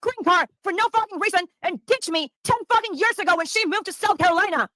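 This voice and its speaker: A woman rants in a very high, bright voice. She swears freely and circles back over the same complaints again and again, talking fast in a dry, close sound. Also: dry recording. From Taiwan. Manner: heavy swearing, repetitive venting. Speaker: streamer in a played clip. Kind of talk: ranting casual chat.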